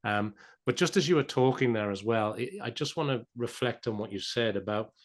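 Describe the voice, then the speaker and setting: A man's voice: mid-range voice, fairly quick. A man hosting a podcast interview, chatty and encouraging, often stammering on a word before he restarts. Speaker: podcast host.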